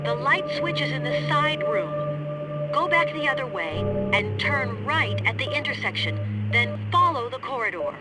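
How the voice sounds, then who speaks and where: A composed, impatient young woman investigator in a video game. Her voice is lively in pitch and briskly instructive, with loud music playing underneath.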